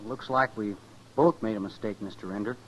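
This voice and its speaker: An actor playing a contrite, respectful young cowhand with a subdued, deferential manner in a 1950s radio western. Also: male voice, lowish voice, brisk, natural voice. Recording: noisy 1950s radio recording.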